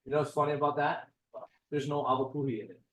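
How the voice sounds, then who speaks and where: A man's voice: on the high side, steady tone, fast, a bit bright, distant mic. A man from Hawaii, giving a casual, joking talk as a guest speaker. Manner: chatty, joking, digressive. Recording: echoey room, voice from off mic.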